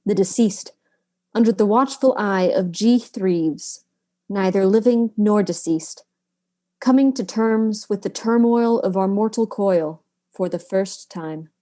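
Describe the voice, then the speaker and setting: Woman as a mellow, grandiose narrator. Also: medium pitch, slow pace, close to an everyday voice. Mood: solemn, theatrical. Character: grandiose, wry mystery-show narrator. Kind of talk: theatrical scripted narration.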